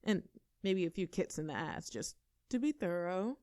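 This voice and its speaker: A woman's voice: fairly low pitch, animated, moderate pace, warm tone, no echo, clean studio sound, lightly acted. A woman voicing a tough, brash young girlfriend in a scripted audio roleplay. She talks casually and cockily, swears freely and laughs between lines. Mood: cocky.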